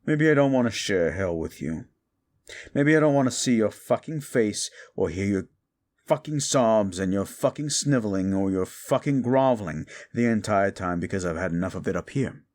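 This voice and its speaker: A man voicing a bitter, ancient fallen demon who speaks scornfully to a listener in an audio drama, dropping to hushes and rising to shouts. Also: fairly low pitch, expressive, normal speed, close to an everyday voice. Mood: furious. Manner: contemptuous, swings from hushed to shouting.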